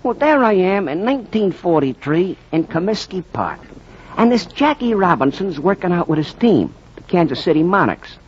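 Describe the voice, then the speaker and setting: An actor playing an excitable Brooklyn fan spinning a tall tale in slangy 1940s radio style, with a Brooklyn accent. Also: male voice, high-pitched, normal speed. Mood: storytelling. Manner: colorful slangy working-class storyteller. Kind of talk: 1940s radio acting, verse-like storytelling. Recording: muffled, faintly noisy 1940s radio recording.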